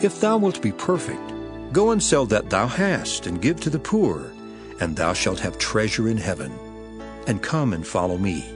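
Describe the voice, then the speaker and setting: Male grave scripture teacher, animated mid-range delivery, solemn emphatic oratory, music underneath. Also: on the low side, moderate pace. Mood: earnest instruction. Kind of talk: dramatized scripture reading.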